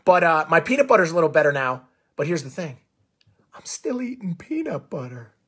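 A man in his forties with a raspy, husky voice, hoarse from a full day of coaching. He talks into a close phone mic in a small room, fired up and animated. His voice sits a touch high for a man. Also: a little fast. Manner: fired-up, animated, gestures a lot. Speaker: coach and show host. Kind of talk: energetic Facebook Live monologue. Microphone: phone close to the face.

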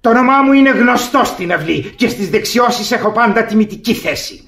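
Pompous, high-pitched old baron. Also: male voice, animated, creaky, fairly bright tone, heavily acted. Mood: pompous, proud. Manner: pompous, formal, declamatory. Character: pompous old baron, proud and formal father-in-law. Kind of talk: classic radio theatre comedy acting.

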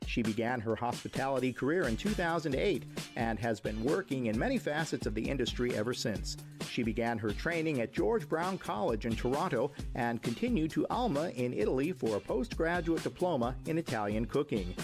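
A man narrates a scripted profile in a mid-range voice. Instrumental music plays clearly underneath him. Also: medium pitch, lively intonation, fairly quick.

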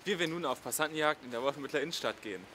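A young man presenting a youth news show and doing street interviews with a handheld mic, in a brisk, upbeat manner. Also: fairly high pitch, bright, forceful tone, roomy sound, a little hiss or hum. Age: young adult. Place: studio desk, then town square outdoors. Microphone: handheld reporter mic outdoors.

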